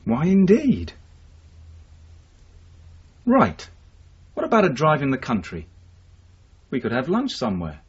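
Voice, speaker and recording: Detective sergeant: husky, expressive pitch, unhurried probing, eighties radio drama on a muffled recording. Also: male voice, full character voice. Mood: inquiring, dry. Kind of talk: 1980s radio drama acting.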